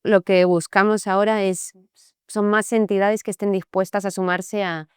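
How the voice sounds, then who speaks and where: A woman's voice: mid-range voice, level tone, moderate pace, clear, resonant tone, dry recording, clean studio sound. A young woman, a startup founder, chatting casually as an interview guest with lots of filler phrases. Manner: casual, many fillers like "y tal" and "en plan". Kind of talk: conversational interview.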